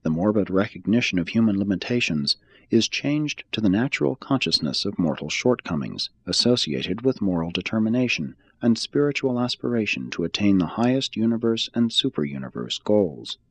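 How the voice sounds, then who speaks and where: A man reading a religious text aloud as an audiobook, in a measured, solemn and formal manner. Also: on the low side, sing-song, no echo. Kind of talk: scripted read-aloud, audiobook narration.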